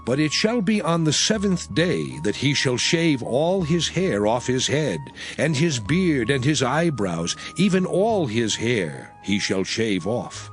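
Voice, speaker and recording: A man's voice: medium pitch, big pitch swings. Solemn male scripture reader. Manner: measured, solemn recitation. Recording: faint background music.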